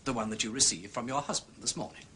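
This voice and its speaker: An actor playing an urbane aristocratic gentleman sleuth with an upper-class English accent, drawling and probing with theatrical understatement in a radio drama. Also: mid-range voice, fast, husky. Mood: pressing, wry.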